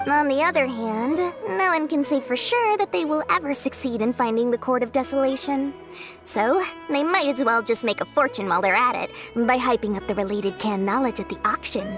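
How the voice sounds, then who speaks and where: A woman's voice: high-pitched, fairly quick. A woman voicing a small, shrewd, money-loving female merchant in a video game, with wheedling, theatrical sales patter. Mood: sly, knowing. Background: music.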